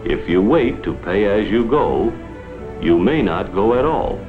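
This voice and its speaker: A man's voice: fairly low pitch, big pitch swings, measured pace, over music. An American man delivering scripted 1950s promotional film narration in an earnest, oratorical, dramatic manner. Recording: vintage 1950s film soundtrack, muffled.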